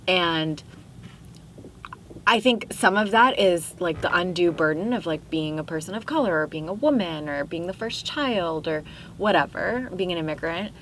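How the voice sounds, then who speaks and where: A woman's voice: lowish voice, lively intonation, moderate pace, a bit bright, slight background noise, low-quality recording. A young woman born in Pakistan, a guest on a podcast, talking casually and reflectively with many fillers and frequent laughs. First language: Urdu. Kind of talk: casual interview chat.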